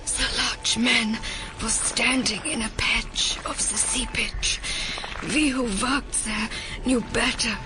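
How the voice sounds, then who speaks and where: A haunted woman scientist in a modern audio drama. Her voice sits fairly high and moves slowly, hushed and breathy, with desperate devotion to her work. Dramatic music plays clearly behind her. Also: fairly even intonation, rough recording. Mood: haunted, shaken. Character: shaken female scientist recounting an attack, desperate and dedicated. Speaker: voice actor.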